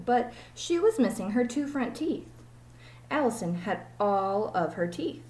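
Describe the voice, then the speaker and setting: A woman reads a children's storybook aloud like a teacher. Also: medium pitch. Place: small home room, indoors. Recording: echoey room, webcam mic.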